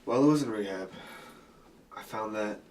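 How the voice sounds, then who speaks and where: A man's voice: lowish voice, normal speed, a little mellow, natural voice. An actor playing an earnest, insistent young man in a film drama, recorded at a distance with background noise. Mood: pleading, anxious. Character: anxious, pleading young HIV-positive man, earnest.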